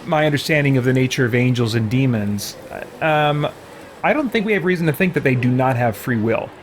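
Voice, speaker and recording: A man's voice: medium pitch, slight background noise. A man hosting a casual roleplay let's play, thinking aloud hesitantly as he comments. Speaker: gaming channel host.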